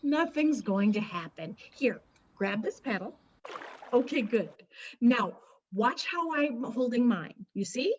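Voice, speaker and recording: A woman's voice: on the high side, expressive, takes it slowly, dull audio, slight background noise. A woman playing a stubborn, superstitious, scornful wife and mother in a radio play, emphatic and accusing. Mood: brisk, firm. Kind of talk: dramatic radio play acting.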